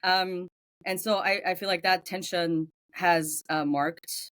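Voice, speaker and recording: A lower-pitched speaker with a German accent talks in a reflective interview manner. The delivery is searching and unhurried, with many small pauses and fillers, heard in a dry, close recording. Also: female voice, steady tone, a bit bright, dry recording. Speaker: guest theologian. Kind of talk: interview conversation.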